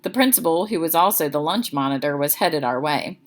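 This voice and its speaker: A woman's voice: low-pitched, expressive, brisk. A woman reading a children's chapter book aloud as narrator, expressively.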